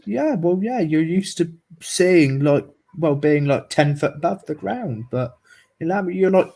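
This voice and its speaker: A man's voice: on the high side, animated, measured pace, gravelly, dark tone. A man from South Africa with a mixed South African, English, American and Irish accent, chatting in a casual, rambling, jokey way on a livestream. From South Africa, living in England.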